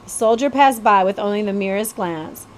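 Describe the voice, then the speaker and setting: A woman's voice: mid-range voice, moderate pace, slight background noise. A woman reading a children's novel aloud as a storybook narrator.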